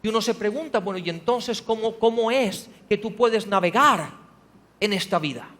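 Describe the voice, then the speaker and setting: High-voiced male pastor in reverberant hall. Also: normal speed, fairly bright tone. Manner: preaching with rhetorical questions, rising to emphatic passages. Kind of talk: sermon. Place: church hall.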